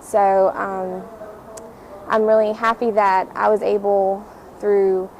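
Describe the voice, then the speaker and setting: Halting young woman, creaky voice. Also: mid-range voice, level tone, darkish tone, slight background noise, low-quality recording. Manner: halting, hesitant delivery with frequent ums. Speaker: writing tutor. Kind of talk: personal anecdote.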